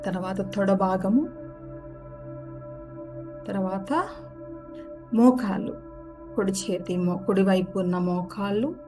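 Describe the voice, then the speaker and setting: A woman gently guides a relaxation meditation, giving unhurried step-by-step instructions. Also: mid-range voice. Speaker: yoga nidra guide. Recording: music behind the voice. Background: background music.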